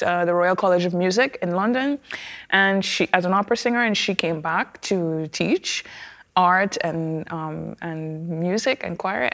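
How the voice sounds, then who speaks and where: A woman with a Trinidadian accent talks in a relaxed interview, her voice sitting a little low. She speaks thoughtfully and often pauses on fillers and small restarts. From Trinidad and Tobago. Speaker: opera singer, guest. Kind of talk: conversational interview.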